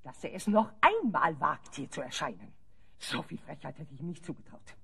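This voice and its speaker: A haughty lady ruler from a fantasy radio play. Her voice is roughened and swoops widely as she scolds and commands. She sounds theatrically indignant and used to being obeyed. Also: deep voice, very animated, moderate pace, sharp tone, exaggerated voice. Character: imperious, furious lady ruler of a misty forest fortress. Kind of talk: German audio play acting.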